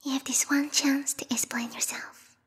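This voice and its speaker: A woman voicing a formal, haughty bat girl who serves a vampire queen, speaking in a breathy, whispery ASMR roleplay style close to the microphone, politely menacing. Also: sits high, flat delivery, moderate pace, long reverb tail, natural voice. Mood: cold, menacing. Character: yandere bat monster girl, devoted servant of vampire queen. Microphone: close binaural microphone.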